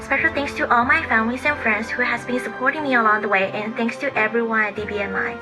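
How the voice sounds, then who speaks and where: Fast-talking woman over music. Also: a little high, fairly even intonation. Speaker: graduating student giving thanks. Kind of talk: short prepared thank-you message.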